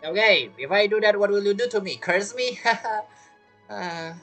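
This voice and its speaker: A woman's voice: mid-range voice, expressive, normal speed, a bit bright, faint music behind. Laughing woman reacting to a game. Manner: laughs often, chatty, broken English. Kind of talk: casual reaction commentary.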